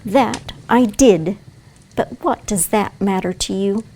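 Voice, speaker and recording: A woman's voice: mid-range voice, slow pace, dark tone, slight background noise, low-quality recording. Lady doing a tough bossy robber girl in an audio play, brusque, takes her time talking. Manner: brusque, commanding. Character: bossy, threatening robber girl with a hidden soft heart.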